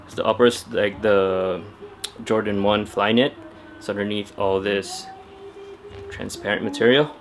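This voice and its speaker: A man's voice: fairly low pitch, steady tone, a little slow, pauses often, a little hiss or hum. A young man talking casually to camera at home about his sneakers, chatty and laid-back with lots of fillers. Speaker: sneaker vlogger. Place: at home indoors.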